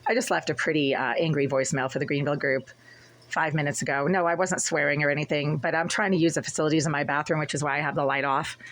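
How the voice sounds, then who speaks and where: A woman tenant with a raspy, breathless voice, recording an agitated complaint in her small apartment bathroom and hallway. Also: sits low, fairly even intonation, fast. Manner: agitated, relentless, repetitive listing. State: short of breath. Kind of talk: angry first-person complaint vlog.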